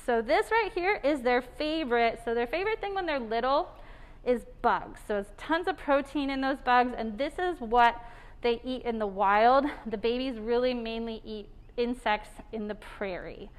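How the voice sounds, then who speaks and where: A young woman zookeeper, explaining things to viewers in a friendly way during a live stream from an indoor animal care room. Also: fairly high pitch. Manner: friendly, explanatory, talks to viewers while working. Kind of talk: live behind-the-scenes zoo stream, answering viewer questions.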